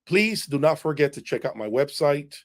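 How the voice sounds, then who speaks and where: A man's voice: on the high side, lively intonation, normal speed. A man hosting an unscripted audio review livestream, talking in an emphatic, opinionated way and often checking in with "okay?". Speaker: audio equipment reviewer and channel host. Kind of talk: unscripted livestream talk answering viewers' questions.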